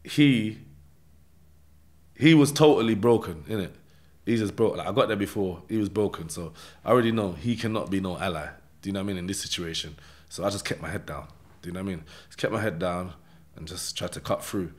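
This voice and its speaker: A man's voice: sits low, a little fast. A man with a London accent tells his own story casually in streetwise slang, often checking in with short tags like "yeah?". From London, England. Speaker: podcast guest telling his life story. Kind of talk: casual first-person storytelling.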